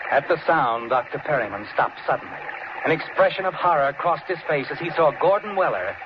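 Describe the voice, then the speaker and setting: An actor playing an all-knowing, foreboding male narrator of dark tales in a 1940s radio mystery. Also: fairly high pitch, lively intonation, fairly quick, very noisy, lightly acted. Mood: suspenseful. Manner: dramatic, foreboding. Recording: dull vintage radio recording, loud music behind.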